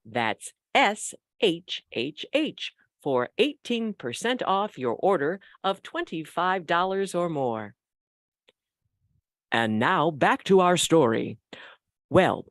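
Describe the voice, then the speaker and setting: A woman's voice: fairly low pitch, no room sound, studio quality. A woman announcer reading scripted narration and sponsor messages for a radio show.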